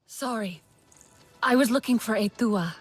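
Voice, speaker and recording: A woman acts out a caring young heroine of an alien tribe in a video game. Her voice is on the high side, earnest and direct, with light music behind it. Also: measured pace, close to an everyday voice. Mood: questioning. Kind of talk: video game character acting.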